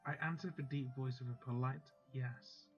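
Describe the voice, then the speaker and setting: A man's voice: medium pitch, steady tone, moderate pace, dull audio, faint music behind. A man hosting a let's play, chatting casually and then reading a visual novel's text aloud.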